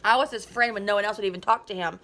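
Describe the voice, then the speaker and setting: A woman's voice: medium pitch, fairly even intonation, racing, bright, forceful tone, lightly acted. A woman voicing a nerdy middle-school boy in a storybook, resentful, defensive and sneering.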